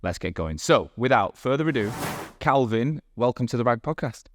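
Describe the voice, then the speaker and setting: A man's voice: mid-range voice, animated, moderate pace, background noise. A man from England with a Manchester accent, hosting a casual podcast interview and chatting freely. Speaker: podcast host. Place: room in Dubai.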